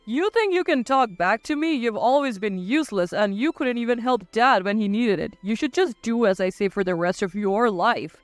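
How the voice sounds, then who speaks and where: Woman voicing an entitled, bullying brother, animated swings. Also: on the high side, moderate pace, few pauses, no room sound, soft background music, lightly acted. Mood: angry, domineering. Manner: blustering, domineering. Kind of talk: acted dialogue in narrated story.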